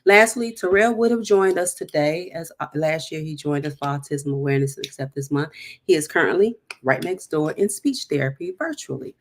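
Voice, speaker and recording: A woman's voice: deep voice, animated, a little fast, slight background noise. A woman hosting a storytime, reading a picture book aloud with chatty, encouraging, emphatic asides.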